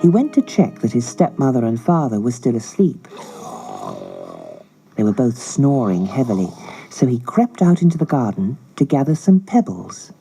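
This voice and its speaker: Woman reading a kids story, low mellow voice, measured and expressive. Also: soft background music, poor audio. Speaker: audiobook narrator. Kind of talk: scripted children's storybook narration.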